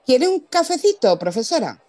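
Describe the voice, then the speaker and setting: A woman's voice: sits high, very animated, normal speed, warm tone, a faint crowd. A woman playing a chatty, hospitable Andalusian wife in a radio drama. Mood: sweetly hospitable.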